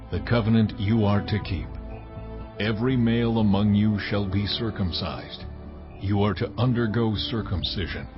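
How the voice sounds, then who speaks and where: A man's voice: on the low side, lightly acted. A man playing a solemn, majestic God in a dramatized scripture reading, speaking in a stately, declamatory way. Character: almighty God, solemn, commanding, majestic male deity. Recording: dull lo-fi sound, music underneath.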